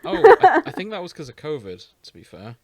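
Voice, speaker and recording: Lively, silly man on a podcast. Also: sits high, brisk, creaky, no echo. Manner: silly, giggly, jokey. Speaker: podcast co-host. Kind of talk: casual chat.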